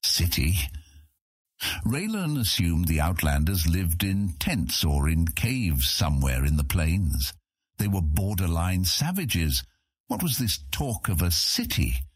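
A man's voice: deep voice, sing-song, takes it slowly, breathy edge, no room sound, clean studio sound. A man narrating a scripted fantasy audiobook as its storyteller.

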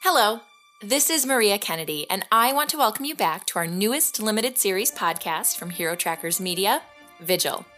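A woman with a medium-pitched voice narrates as podcast host. Her scripted delivery is conversational and turns to rhetorical questions, with music underneath. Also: lively intonation, normal speed, bright, forceful tone.

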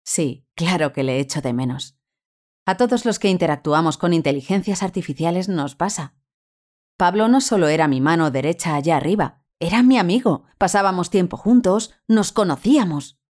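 A woman playing a composed, guarded astronaut being interviewed in a scripted audio drama, with measured answers. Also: fairly low pitch, lively intonation, darkish tone, lightly acted. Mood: wistful. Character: composed, guarded Spanish woman astronaut turned university researcher. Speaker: interview guest.

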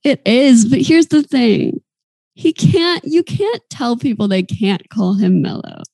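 Chatty young woman with gravelly voice. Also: fairly high pitch, a little slow, no room sound, low-quality recording. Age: 20s. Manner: chatty, giggly, animated storytelling. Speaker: podcast co-host. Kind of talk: casual chat.

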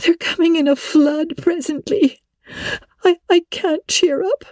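A woman plays a dreamy, homesick teenage girl from a classic storybook. Her delivery is slow and dramatic, full of wistful exclamations. The voice is soft and mellow. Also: very high-pitched, fairly even intonation, no echo, studio quality, pitched above the performer's own voice. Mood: tearful, despairing.

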